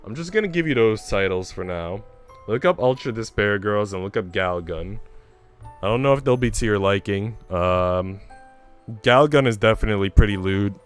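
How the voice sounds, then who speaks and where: A man's voice: on the low side, animated, normal speed, clear, resonant tone. A man chatting casually on a game livestream in an informal, slangy, rambling way. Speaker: game streamer. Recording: music playing behind the voice. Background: music.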